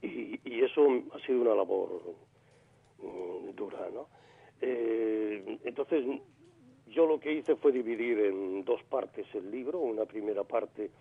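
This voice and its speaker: A man's voice: on the low side, slow, vocal fry, breathy edge. A man in his sixties from Granada, a poet calling in to a radio interview, speaking reflectively with many fillers and restarts. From Granada, Spain. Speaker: poet, phone guest. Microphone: telephone line. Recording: phone-line radio call.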